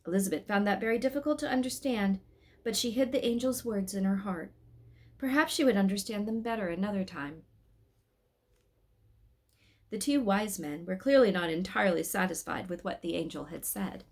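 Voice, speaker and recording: A woman reading a children's story aloud in an expressive style. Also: mid-range voice, moderate pace.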